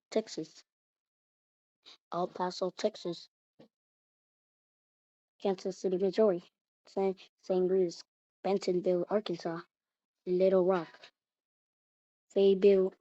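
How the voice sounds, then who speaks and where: A woman delivers a homemade-sounding emergency alert in a flat, low-pitched voice. She speaks haltingly and very slowly, repeating phrases as she goes. The recording is dull and lo-fi. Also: no room sound. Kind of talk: improvised mock emergency alert announcement. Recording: muffled lo-fi recording.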